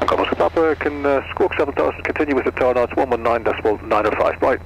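A man's voice: mid-range voice, quick pace, creaky. A man speaking in clipped aviation phrases over an aircraft radio. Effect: aircraft radio transmission. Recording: noisy, crackly aircraft radio.

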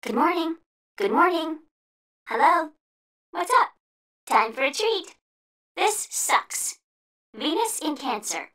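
Casting: talking parrot, game creature, piercing high squawk, slow mimicry. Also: very animated, pushed and bright, full character voice. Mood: chirpy, mimicking. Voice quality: shrill, squawky. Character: squawky pet parrot mimicking human phrases.

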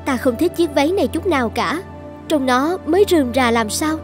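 A woman's voice: sits high, expressive, a little fast, over music. A woman voicing a proud, choosy young princess in a fairy-tale cartoon. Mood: disdainful.

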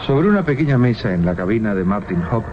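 Un hombre narra un relato de terror radiofónico con suspense y titubeos deliberados. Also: male voice, lowish voice, very animated. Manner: suspenseful, with deliberate hesitations and repetitions. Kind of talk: dramatic horror storytelling for radio. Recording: dull 1980s radio recording. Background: music.

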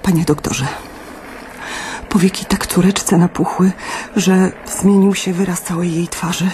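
A woman's voice: lowish voice, fairly even intonation, a little slow, background noise, close to an everyday voice. A woman playing a worried, emphatic wife and mother in a wartime radio drama. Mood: distressed, grieving.

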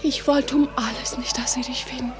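A woman playing an ardent, anxious girl in love in a 1940s radio play, speaking classical verse in a breathy, hushed declamation. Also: high-pitched, fairly even intonation, fairly quick, close to an everyday voice. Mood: alarmed, urgent. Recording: hissy 1940s radio recording.

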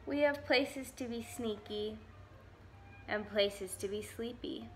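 Young woman, storytime reading for small children, slightly high voice, faint music behind. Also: takes it slowly. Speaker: volunteer story reader. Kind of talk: reading a picture book aloud for preschoolers.

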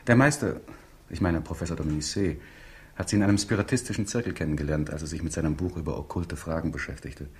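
An actor in a 1960s radio crime drama playing an affectionate, attentive fiancé in a conversational manner. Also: male voice, a bass voice, quick pace.

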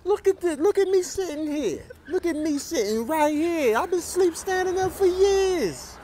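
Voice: very high voice, animated, moderate pace, warm tone, a little hiss or hum. A performer voicing a delighted, amazed horse in a gleeful, repetitive way for a comic animal voiceover. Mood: delighted amazement.

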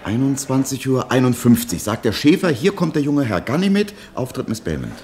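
An actor playing a plain-spoken, harried theatre stage manager in a German radio play, colloquial and matter-of-fact. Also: male voice, medium pitch, sing-song, moderate pace, darkish tone, a little hiss or hum.

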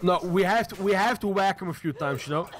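A young man playing a co-op horror game with a friend, excitable and jokey, giggling often. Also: high voice, a little fast, crowd noise. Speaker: gamer. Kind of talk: co-op gameplay banter.

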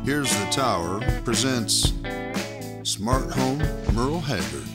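A man reading the show credits and sponsor plug in a measured, unhurried voice. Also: medium pitch, animated. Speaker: show announcer. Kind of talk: scripted credits read over music. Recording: voice over loud guitar music.

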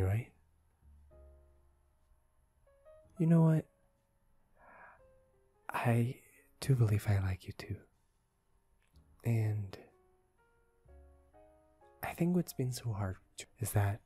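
A man playing a smitten, teasing young office worker in an ASMR romance roleplay, speaking softly and intimately, often close to a whisper. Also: fairly low pitch. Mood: playful, affectionate, then earnest, confessing. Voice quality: soft, breathy, often dropping to a whisper. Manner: slow, halting, intimate, teasing. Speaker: ASMR voice actor. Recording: music behind a close voice. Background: soft instrumental music.

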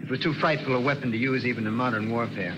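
A man playing a high-strung inventor and showman in 1940s radio drama style. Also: steady tone, fast talker, dull audio, lightly acted. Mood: weary, troubled. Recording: noisy vintage radio recording.

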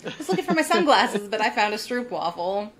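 A woman's voice: medium pitch, very animated, a little fast, fairly bright tone, a little hiss or hum. A woman in her thirties hosting a casual podcast chat from home over a video call, upbeat and chatty, laughing often. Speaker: podcast host. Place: home room with bookshelves, indoors. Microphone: earbuds on a video call.

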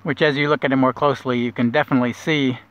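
A middle-aged man guiding a kayak nature trip, explaining plants in a relaxed way with small restarts, recorded outdoors on a lake. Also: medium pitch, rapid-fire, clear, resonant tone, dry recording. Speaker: naturalist guide. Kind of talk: outdoor nature walk narration. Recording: dull lo-fi outdoor audio.

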